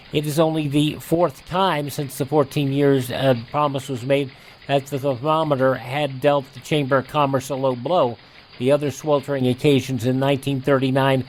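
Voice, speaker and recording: A man hosting a radio show, reading scripted narration and news items in a deliberate announcer style. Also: fairly high pitch, a little hiss or hum, poor audio.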